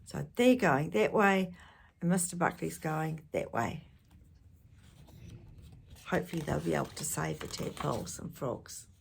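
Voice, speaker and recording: A woman's voice: low-pitched, expressive, unhurried, creaky, long pauses, slight background noise. A woman storyteller reading aloud to children expressively, with chatty asides and questions to her listeners.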